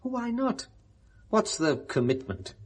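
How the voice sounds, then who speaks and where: A brisk, urbane British businessman in middle age in a seventies radio play. His slightly husky voice ranges widely in pitch as he makes his case persuasively. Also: wildly swooping pitch, full character voice. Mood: persuasive, pressing. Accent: British English. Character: brisk persuasive businessman, male, family man, paternal. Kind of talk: 1970s British radio drama acting.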